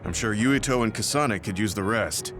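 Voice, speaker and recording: Male actor as mature game teammate, steady, calm, fairly low voice, music behind. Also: moderate pace, lightly acted. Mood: calm, considerate. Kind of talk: English anime video game voice acting.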